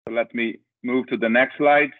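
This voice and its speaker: A man's voice: medium pitch, steady tone, normal speed. A middle-aged man from Brazil with a Brazilian accent, presenting a webinar over a video call with hesitant restarts and filler uhs. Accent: Brazilian Portuguese. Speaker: company director presenting a webinar. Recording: tinny phone-like video call audio.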